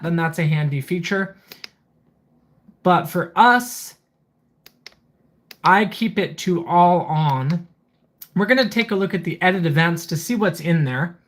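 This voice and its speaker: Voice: mid-range voice, fairly bright tone. A reviewer hosting a casual live product walkthrough, methodically reading items out and commenting on them. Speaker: livestream host reviewing gadgets.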